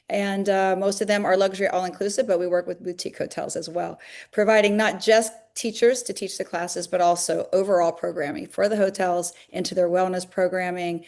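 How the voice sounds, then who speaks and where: A woman's voice: mid-range voice, darkish tone. A woman in her fifties, a fitness company founder, talking upbeat and at length in a webinar interview over a video call, with frequent fillers.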